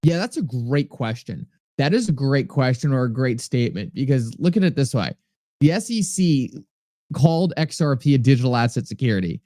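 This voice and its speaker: A man's voice: medium pitch, expressive, a little fast, warm tone, dry recording, clean studio sound. A man with a New England accent hosting a live stream, chatting casually, reading viewer comments aloud and thanking people by name. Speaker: livestream host. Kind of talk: casual livestream talk answering chat.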